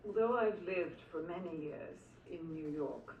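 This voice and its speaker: An older woman with a low voice and a Philadelphia accent softened by English traces gives a reflective acceptance speech. She pauses now and then, and her voice rings through a large echoing hall from some distance, with faint room noise behind it. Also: phone-quality sound. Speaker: honoree giving acceptance speech. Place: school hall at an awards ceremony. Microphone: podium microphone. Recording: echoey hall, distant mic.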